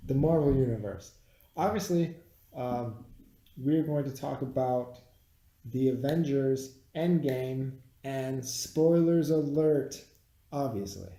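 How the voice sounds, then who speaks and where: A man's voice: medium pitch, slow, mellow, slight background noise. A man chatting casually and bluntly about a film, swearing freely, with a few beers in him. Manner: blunt, dismissive, teasing. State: has been drinking beer. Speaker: podcast co-host reviewing a film. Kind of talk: casual, profane chat. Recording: roomy, echoey home recording.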